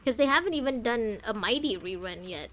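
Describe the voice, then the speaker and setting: A woman's voice: fairly high pitch, lively intonation, brisk, fairly bright tone. A woman streaming a game, chatting casually and thinking aloud in a rambling way. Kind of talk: casual chatty playthrough commentary.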